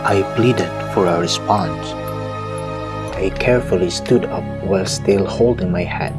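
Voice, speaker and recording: A man acting as a traumatized survivor tells a grim first-person horror tale for an audio play. The voice is fairly low and the telling very slow, with music clearly behind it. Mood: grim recounting. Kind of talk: scripted audio drama horror narration.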